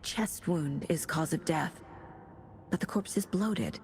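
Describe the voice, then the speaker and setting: An actress playing a focused FBI investigator in a video game, thinking aloud in a measured, matter-of-fact way. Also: female voice, low-pitched, a little mellow, a little hiss or hum. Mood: focused, pondering. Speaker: FBI agent character in a game. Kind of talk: cinematic game acting, investigative dialogue and inner monologue.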